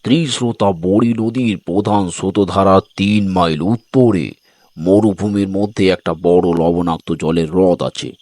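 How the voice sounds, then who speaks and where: A man's voice: deep voice, fairly even intonation, measured pace, a little mellow, no echo. A man narrating a scripted story as a dramatic storyteller.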